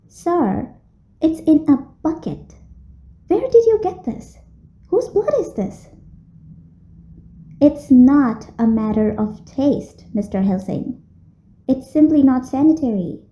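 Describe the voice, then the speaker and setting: A woman's voice: high-pitched, warm tone, echoey room, highs cut off, heavily acted. A woman playing a polite, teasing female vampire who runs a blood bank, in an audio roleplay spoken to the listener. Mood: incredulous, flustered, then firm, exasperated. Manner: genteel, courteous, teasing. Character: polite, flirty female vampire running a blood bank, playful and long-suffering. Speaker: medical center receptionist.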